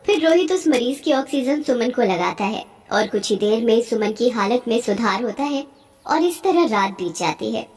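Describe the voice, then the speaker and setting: A woman's voice: a little high, expressive, moderate pace, a little mellow. A woman storyteller reading scripted narration for a cartoon story.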